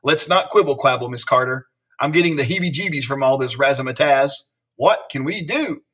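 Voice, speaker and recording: Casting: man as fussy, scolding lady teacher for a storybook, lively swooping delivery, husky. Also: medium pitch, moderate pace, recorded from a distance. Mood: anxious. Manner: exclamatory, sing-song rhyming slang. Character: flustered, scolding woman schoolteacher.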